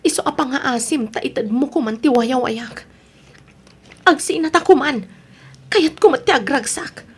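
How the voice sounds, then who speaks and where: A woman's voice: sits high, a little slow, pauses often, husky. A woman playing an unhappy wife and mother who wants her freedom, in an Ilocano radio drama. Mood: pleading.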